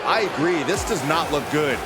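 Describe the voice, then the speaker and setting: Guy doing wrestling commentary, excited, highish voice. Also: moderate pace, heavy background noise, natural voice. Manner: excited, exclaiming. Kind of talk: sports commentary.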